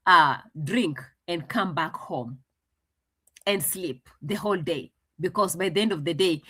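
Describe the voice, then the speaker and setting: Chatty woman commentator, bright voice. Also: medium pitch, slightly rough. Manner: chatty, translating and mocking, laughs often. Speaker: commentator reacting to a clip. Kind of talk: casual commentary.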